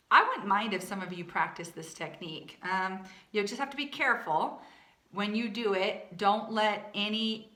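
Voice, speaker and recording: A woman teaching a vocal warm-up lesson for choir singers, chatty and casual, with a naturally low speaking voice. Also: reverberant. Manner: chatty, demonstrates belting and singing.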